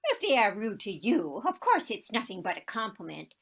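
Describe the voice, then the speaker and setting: A woman playing a frail but feisty, stubbornly proud old lady in a stage comedy, deliberate and theatrical. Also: medium pitch, very animated, vocal fry. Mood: stubborn, indignant. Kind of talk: stage comedy acting, one-act play.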